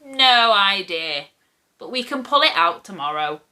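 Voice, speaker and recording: A woman voicing a gruff but kindly old grandfather in a children's storybook, blunt, teasing and matter-of-fact. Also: mid-range voice, animated, measured pace, sharp tone. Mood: dismissive, brisk. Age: older. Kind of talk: children's storybook character reading.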